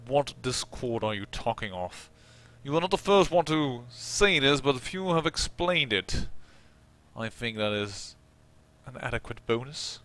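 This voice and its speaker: A man with a Dutch accent reading a video game's dialogue choices as a cool, sardonic male vampire protagonist, in a dry and lowered voice. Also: lively intonation, measured pace, a bit bright.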